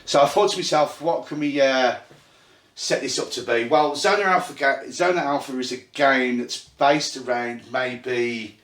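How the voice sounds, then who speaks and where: An Irish-born man with a Birmingham accent talks through a tabletop game setup, pausing often with ums. His voice sits in a middling register. The recording is an echoey room captured on a phone. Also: mid-range voice, a bit bright. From Ireland. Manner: chatty, halting with fillers, self-deprecating. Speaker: host and game runner. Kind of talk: casual rules explanation. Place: indoor room at a gaming table.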